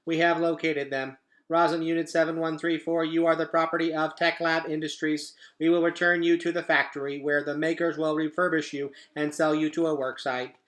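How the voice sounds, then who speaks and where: A man's voice: a little high, flat delivery, moderate pace, a bit bright, lightly acted. A man voicing a cold, commanding robot enforcer in a children's storybook, giving clipped official orders. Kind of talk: children's book read-aloud.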